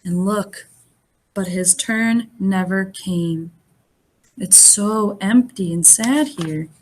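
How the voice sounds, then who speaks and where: A woman reading a picture book aloud as a teacher would to young children, expressive and pausing to explain things in simple words. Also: medium pitch, rough recording. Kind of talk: animated children's read-aloud with asides.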